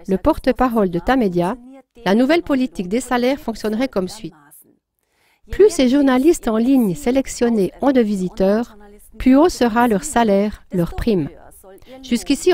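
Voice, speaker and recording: A woman's voice: a little high, mellow. Woman reading earnest scripted commentary. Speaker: news commentator.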